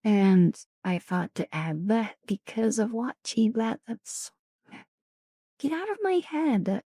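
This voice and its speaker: A woman streamer chatting casually with viewers in a soft ASMR style, her voice raspy and deeper than usual, often slipping into whispers. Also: animated, slow, no room sound, clean studio sound. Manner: chatty, greets and thanks viewers.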